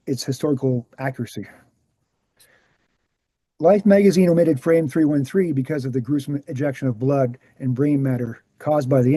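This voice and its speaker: Man reading as a mellow, muted male researcher. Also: medium pitch, slightly rough, no echo, close to an everyday voice. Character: Gary, earnest male presenter, calm debunking researcher. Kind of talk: screenplay table read, explanatory speech.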